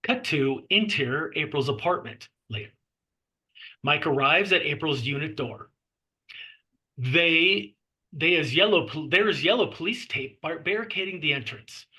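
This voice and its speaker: A man's voice: a little high, expressive, unhurried, bright, forceful tone. A man reading screenplay stage directions aloud as scripted narration at a table read.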